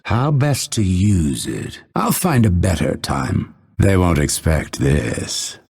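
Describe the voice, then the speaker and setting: An actor playing an unveiled assassin in a video game, hunted since birth, gravelly and husky, speaking with measured menace and dry, bitter spite. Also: male voice, sits low, darkish tone, exaggerated voice. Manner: measured, menacing, dryly sardonic. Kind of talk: video game character voice lines.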